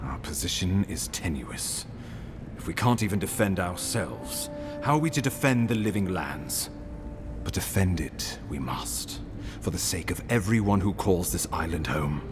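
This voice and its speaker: Imperial official for a fantasy game: low, grave, authoritative, deliberate phrasing with wide inflection. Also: male voice, expressive, over music. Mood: grave, resolute. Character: grave, commanding imperial official briefing an envoy. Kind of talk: video game dialogue, formal and measured.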